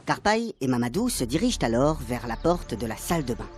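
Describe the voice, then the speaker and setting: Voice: mid-range voice, very animated, brisk. A narrator telling a radio serial recap in a tongue-in-cheek storytelling way. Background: music.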